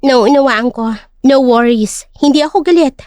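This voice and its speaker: A woman's voice: high voice, normal speed, darkish tone, no echo, studio quality, lightly acted. A woman voicing a cool, firm young company owner in an audio drama. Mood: composed, assertive.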